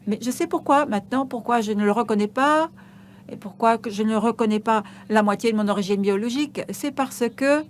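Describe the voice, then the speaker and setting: A woman giving a live interpretation of an on-stage conversation, halting, with frequent hesitations and restarts. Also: fairly high pitch, slight background noise.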